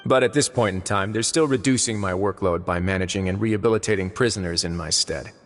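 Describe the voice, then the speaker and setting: A lowish, composed male voice from an actor playing a shrewd warden who weighs every word and keeps a dry humor, with faint game music underneath. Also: natural voice. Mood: scheming. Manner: measured, composed, dryly amused. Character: calm, shrewd male prison warden nobleman. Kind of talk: video game voice acting.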